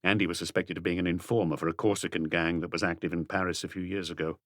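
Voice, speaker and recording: Male reader playing police inspector, low voice, calm, ironic, probing, unhurried, dry detective audiobook recording. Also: no echo.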